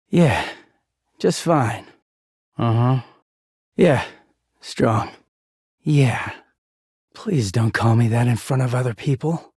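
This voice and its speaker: A man's voice: medium pitch, lively intonation, drawn-out words, vocal fry, pauses often, slightly rough, dry recording, clean studio sound, heavily acted. A man voicing a bitter, exasperated, sardonic, foul-mouthed young male living-laundry character in a video game. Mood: sullen, sardonic. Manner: sardonic, foul-mouthed, swinging from muttering to shouting.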